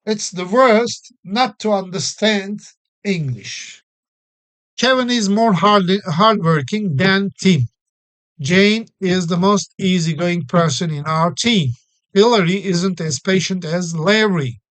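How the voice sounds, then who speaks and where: A man's voice: high voice, takes it slowly, fairly bright tone, low-quality recording. A man from Turkey, teaching an English grammar lesson and explaining each point patiently with everyday examples. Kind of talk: recorded classroom-style English lesson.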